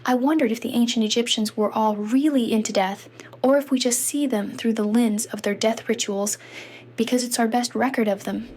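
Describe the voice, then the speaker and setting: Woman playing a high-voiced, wry teenage bookworm girl. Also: steady tone, moderate pace, a little mellow, natural voice. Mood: wry, morbid. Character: self-conscious bookish fifteen-year-old girl, sardonic student narrator. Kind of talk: scripted first-person narration for a comic dub.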